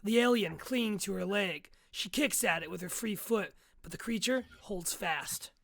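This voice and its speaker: A woman's voice: mid-range voice, normal speed, vocal fry. A woman reading screenplay stage directions aloud as dramatic narration at a table read.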